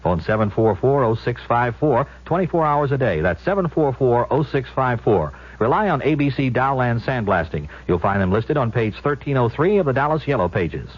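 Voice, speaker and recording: A man's voice: mid-range voice, lively intonation, moderate pace, few pauses. A man working as a commercial announcer, reading a scripted 1970s radio advertisement. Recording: muffled 1970s radio broadcast.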